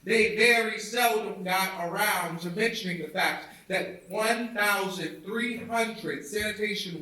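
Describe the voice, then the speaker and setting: Echoey, high-pitched man delivering a speech. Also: bright, forceful tone. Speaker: reader of a historic speech. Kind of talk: oratorical public speech reading. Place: indoor theatre, recorded from afar. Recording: echoey hall, distant mic.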